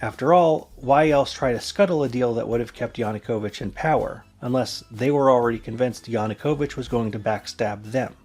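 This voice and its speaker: A man presents a scripted explainer as narrator. His voice sits at an average pitch for a man. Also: a little fast, few pauses, a little mellow.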